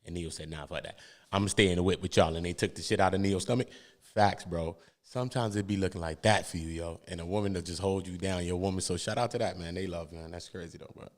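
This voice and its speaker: A man's voice: deep voice, steady tone, fast talker. An American man hosting a livestream, giving casual, slangy street commentary on court cases and repeating phrases for emphasis.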